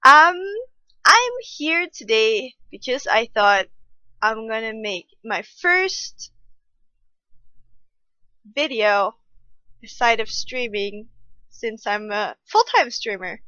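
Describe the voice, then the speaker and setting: A woman's voice: a little high, animated, slow, pauses often, bright, forceful tone, dry recording, highs cut off. A woman in her twenties from Austria, a German native speaker with an Austrian accent, chatting casually and self-mockingly to camera as a streamer. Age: 20s. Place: home room at night.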